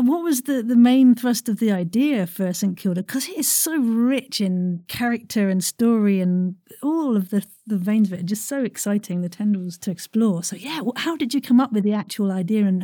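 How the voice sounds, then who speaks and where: A woman hosting a casual interview, enthusiastic and quick to affirm what she hears. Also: medium pitch, expressive, dark tone, no echo, studio quality. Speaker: podcast host.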